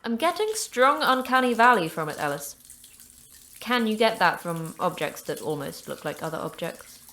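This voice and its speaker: A woman playing a terse, dry-witted station manager in an audio drama, with deadpan humour. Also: mid-range voice, moderate pace. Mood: dry, wry. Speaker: voice actor in a fiction podcast.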